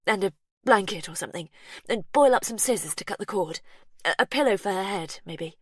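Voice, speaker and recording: A woman's voice: mid-range voice, animated, brisk, slightly rough, no room sound, studio quality, pitched above the performer's own voice. A woman voicing a blunt young woman giving urgent, clipped orders. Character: tense young woman, blunt and trying to stay calm.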